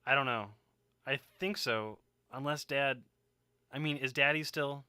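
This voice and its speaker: A man's voice: medium pitch, unhurried, bright, forceful tone, clear, resonant tone, no echo. A man acting in an audio drama as a wisecracking, stubborn young survivor who talks casually and sarcastically. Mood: distressed.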